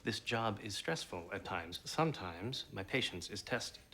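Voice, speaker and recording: Curt bank manager, gravelly. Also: male voice, fairly low pitch, animated, unhurried, bright, forceful tone, a little hiss or hum, heavily acted. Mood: irritated. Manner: stiff, formal, clipped. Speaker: actor. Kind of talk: period TV drama acting.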